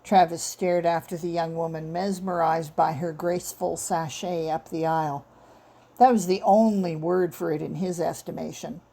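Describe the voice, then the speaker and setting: A woman's voice: on the low side, fairly even intonation, normal speed, warm tone. A woman author reading her own short fiction as scripted story narration.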